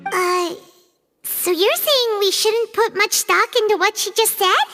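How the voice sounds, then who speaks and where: A woman voicing a tiny, blunt, curious fairy companion in a video game, squeaky and childlike. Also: a little slow, pushed and bright, faint music behind, exaggerated voice. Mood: skeptical.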